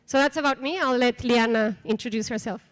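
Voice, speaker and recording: A woman's voice: a little high, a little fast. A woman from Mexico with a Mexican Spanish accent, opening and presenting a conference workshop in a casual, hesitant way with many fillers. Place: conference room.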